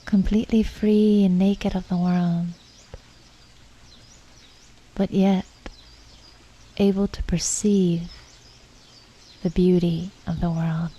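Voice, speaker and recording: A woman guides a meditation in a deeply mellow, muted voice. She moves slowly and calmly, with reflective pauses and soft, breathy endings to her phrases. The recording is dull and slightly muffled. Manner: calm, unhurried, reflective, with pauses.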